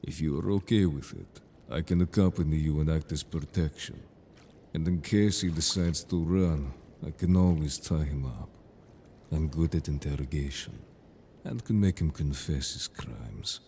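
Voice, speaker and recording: A man voicing a stern, protective merfolk guard in an audio drama, speaking in a measured, formal, serious way. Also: very deep voice, vocal fry, dark tone, slight background noise. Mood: calm, resolute. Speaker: voice actor in an audio roleplay. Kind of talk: scripted audio drama roleplay.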